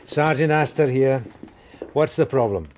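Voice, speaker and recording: An actor playing an irritable, overworked police sergeant who barks impatient, blunt questions in a radio drama. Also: male voice, medium pitch, unhurried, a little mellow, a little hiss or hum, close to an everyday voice. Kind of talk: naturalistic radio drama acting.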